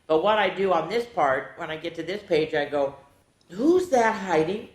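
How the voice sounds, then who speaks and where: A woman hosting a children's storytime, reading picture books aloud in a playful, theatrical way with casual asides and drawn-out words. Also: lowish voice, expressive. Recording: echoey, roomy sound.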